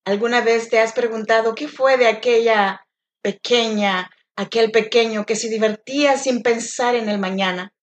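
A woman from Oaxaca with a Mexican accent hosting a solo podcast, speaking gently and encouragingly straight to the listener. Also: fairly high pitch, steady tone, moderate pace, lo-fi sound. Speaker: podcast host. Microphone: home podcast mic.

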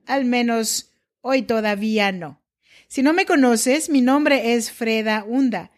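Brief: female podcast host, slightly high voice, intimate and personal, talks one-to-one with the listener. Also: slow. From Mexico. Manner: warm, confiding, talks directly to the listener.

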